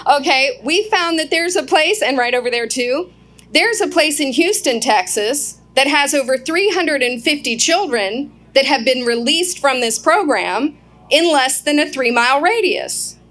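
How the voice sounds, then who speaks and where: A woman's voice: sits high, animated, a little slow, a bit bright. Earnest woman addressing a crowd. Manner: earnest, asks the crowd questions. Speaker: guest speaker. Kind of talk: public speech to a live crowd. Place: outdoor event.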